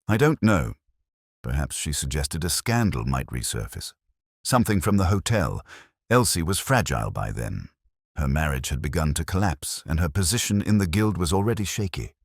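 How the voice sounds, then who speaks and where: Guy doing a scared, ashamed village lady in a mystery story, soft and anxious, raspy edge. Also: very deep voice, very animated, normal speed, no room sound, studio quality, heavily acted. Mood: uneasy. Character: ashamed, frightened village woman confessing quietly.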